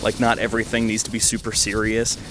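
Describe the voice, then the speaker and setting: A man's voice: fairly low pitch, completely flat delivery, fast, noisy background. A man giving casual, enthusiastic video game commentary as a host, stressing points by repeating words.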